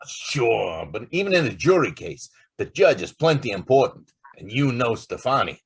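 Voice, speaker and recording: A man playing a determined, wisecracking newspaper reporter with a husky voice in an old time radio drama. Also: mid-range voice, big pitch swings, moderate pace, exaggerated voice. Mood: brisk, determined.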